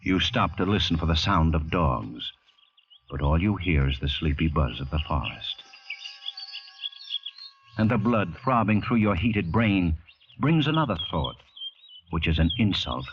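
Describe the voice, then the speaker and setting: A man acting a grave, intense narrator in an old-time radio drama, deliberate and theatrical, with an ominous manner. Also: sits low, very animated, slight background noise, full character voice. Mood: weary strain. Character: grave, intense second-person storyteller narrator. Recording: muffled vintage radio recording.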